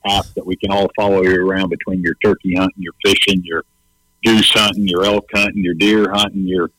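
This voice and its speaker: A man's voice: deep voice, level tone, fairly quick, bright, forceful tone, no echo, rough recording. A man co-hosting a casual radio show, easygoing and teasing. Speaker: radio co-host.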